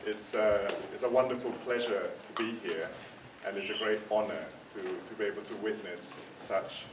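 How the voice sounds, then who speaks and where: A man whose first language is Dutch presents a curator's talk in a lecture hall, slow and hesitant, with plenty of repeated words and fillers. His slightly low voice carries the roomy echo of the hall and sounds distant from the microphone. A faint noise sits underneath. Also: steady tone. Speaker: curator giving a forum presentation. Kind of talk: conference talk. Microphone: handheld microphone.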